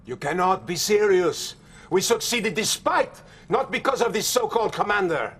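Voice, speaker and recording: An actor playing an arrogant, blustering middle-aged Soviet general with a Russian accent, pompous and scornful, in a game cutscene. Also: male voice, high voice, lively intonation, normal speed, fairly bright tone, breathy edge, exaggerated voice. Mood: scornful, indignant. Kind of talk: video game cutscene acting.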